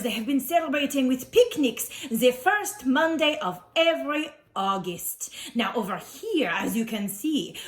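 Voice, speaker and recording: Woman putting on a jolly French dinosaur, theatrical and animated. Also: a little high. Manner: theatrical, cheerfully boastful, explaining to an audience. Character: jolly, self-assured male French Tyrannosaurus presenter. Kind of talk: playful holiday presentation for children. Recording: roomy, echoing sound.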